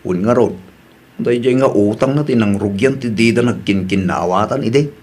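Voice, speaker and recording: A man's voice: mid-range voice, moderate pace, darkish tone, lightly acted. A man playing a preachy, peacemaking husband and son in an Ilocano family comedy drama. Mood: calm, concerned.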